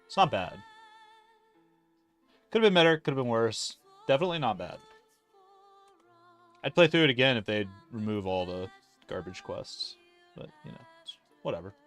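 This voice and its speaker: A man's voice: mid-range voice, very animated, moderate pace, pauses often, fairly bright tone, faint music behind. A man giving casual, sardonic livestream commentary as a game streamer, grumbling along as he goes.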